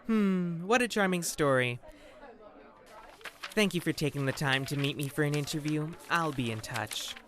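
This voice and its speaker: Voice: mid-range voice, normal speed, fairly bright tone, close to an everyday voice. An actor playing a haughty, condescending job interviewer with a prejudice against hybrids, in an audio drama. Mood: polite, dismissive. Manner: condescending, dismissive, swooping intonation.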